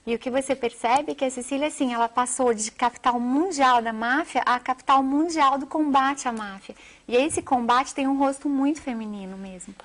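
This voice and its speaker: A woman's voice: high voice, normal speed, hardly any pauses. A Brazilian woman, a journalist and author, speaking as an interview guest in an earnest, emphatic, wordy way. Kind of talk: television interview, animated storytelling.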